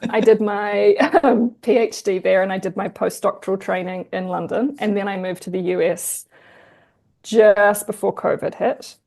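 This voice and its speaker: A woman with a New Zealand accent, a guest historian chatting in an interview, lively and quick to laugh. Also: medium pitch, gravelly. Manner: chatty, animated, laughs easily. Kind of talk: casual interview conversation.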